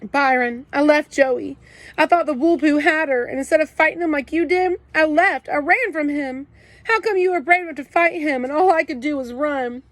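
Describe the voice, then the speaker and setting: Sobbing little boy, high and shaky, ashamed and pleading, read by a woman; storybook audiobook. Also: animated, moderate pace, few pauses, no room sound. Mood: tearful pleading. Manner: choked, pleading, trembling. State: crying. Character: tearful ten-year-old boy, sensitive and ashamed.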